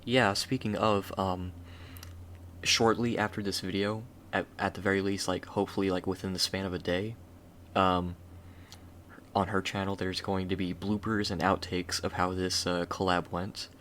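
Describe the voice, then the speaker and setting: A man with a low voice gives a casual creator's outro. He is informal and friendly, feeling his way through sentences. The recording is clearly noisy. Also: little pitch movement, normal speed, fairly bright tone. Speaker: video creator, voice actor.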